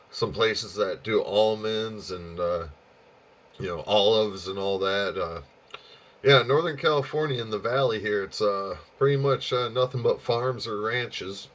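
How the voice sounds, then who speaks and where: An American man chatting casually and easygoingly over ham radio, rambling along with drawn-out pauses. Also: lowish voice, fairly bright tone. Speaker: amateur radio operator and channel host. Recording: dull, lo-fi sound.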